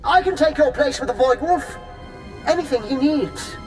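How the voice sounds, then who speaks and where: An actor playing an eager, scheming political counselor serving a villain in a video game. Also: male voice, very high voice, animated, moderate pace. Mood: eager, pleading. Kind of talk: video game cutscene acting. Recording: echoing hall, loud music.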